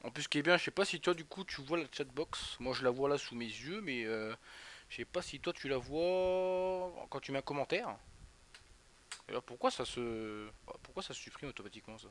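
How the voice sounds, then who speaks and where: A man's voice: medium pitch, animated, brisk, a little hiss or hum. Young man rambling through a live stream. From northeastern France. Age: young adult. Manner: rambling, chatty, talks straight to viewers by name. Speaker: Twitch streamer. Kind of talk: casual live football commentary while chatting with viewers.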